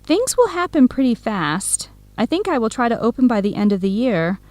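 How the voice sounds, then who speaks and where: A woman's voice: on the high side, big pitch swings, normal speed, a little mellow, no echo. A woman playing a supportive, frank young best friend in a radio drama, with a direct, encouraging, conversational manner. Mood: hopeful. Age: young adult. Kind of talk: scripted radio drama dialogue.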